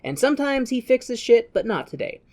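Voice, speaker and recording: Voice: medium pitch, sing-song, moderate pace, a little mellow. A podcast host chatting casually through a movie recap, with crude, profane joking and bits of acted-out dialogue.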